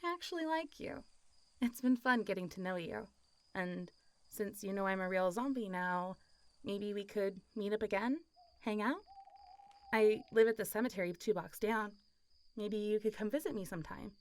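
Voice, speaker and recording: A woman voices a zombie girl who has been dead for centuries yet talks like a casual, friendly young woman. Her pitch sits in an ordinary middle range for a woman. She is playful and teasing, chatting intimately as in a roleplay audio drama. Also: moderate pace, dry recording, natural voice. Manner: casual, playful, teasing, often laughing and sighing.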